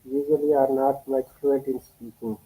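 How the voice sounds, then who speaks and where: A man's voice: medium pitch, flat delivery, normal speed, mellow, rough recording. A man from Kashmir hosting a podcast interview over a video call, speaking hesitantly with many uhs. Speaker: podcast host, teacher.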